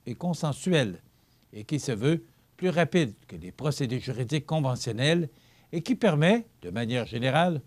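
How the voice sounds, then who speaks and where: Québécois man reading local news. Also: on the high side. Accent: Québécois French. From Quebec. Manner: steady measured delivery with small slips. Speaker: news anchor. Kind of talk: read news bulletin.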